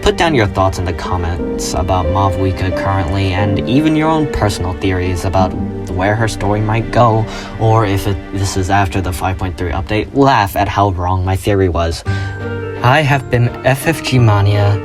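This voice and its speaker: A man's voice: sits low, expressive, normal speed. A man presenting a fan theory as a scripted video essay, with dramatic storytelling phrasing. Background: loud music.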